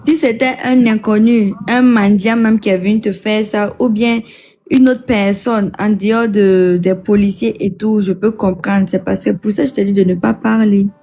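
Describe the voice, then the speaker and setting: A woman's voice: medium pitch, fairly even intonation, normal speed, warm tone. A woman in a casual chat, teasing and lecturing the other speaker.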